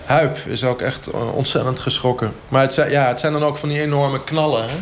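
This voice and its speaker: A man playing a blunt, oblivious fitness coach who explains things haltingly and matter-of-factly in a mock radio interview. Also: medium pitch, steady tone, a little hiss or hum, lightly acted. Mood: defensive, halting. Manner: halting, hesitant, matter-of-fact.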